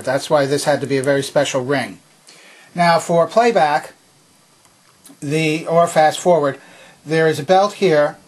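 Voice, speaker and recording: A man with a somewhat high, husky voice gives an instructional demonstration. He explains each part methodically, one step after another, as a presenter showing how something works. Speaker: presenter demonstrating vintage tape recorder. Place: indoor home workbench.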